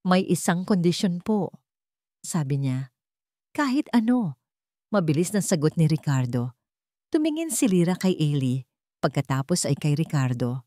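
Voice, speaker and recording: A woman with a Filipino accent narrating an emotional family story in a soft, heartfelt storytelling manner. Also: deep voice, sing-song, measured pace, long pauses, no room sound, studio quality.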